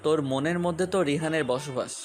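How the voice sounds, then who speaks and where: Guy playing a menacing jealous boss fiancé in an audio love story, kinda high voice, bossy and taunting, bit of music. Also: brisk, close to an everyday voice. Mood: angry, accusing. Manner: taunting, commanding, accusatory. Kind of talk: Bengali romantic audio story acting.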